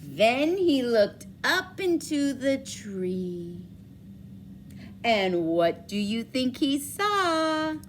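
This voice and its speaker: A woman reading a children's story aloud expressively, with dramatic emphasis and drawn-out words. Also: on the high side, big pitch swings, a little hiss or hum. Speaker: storybook reader for schoolchildren.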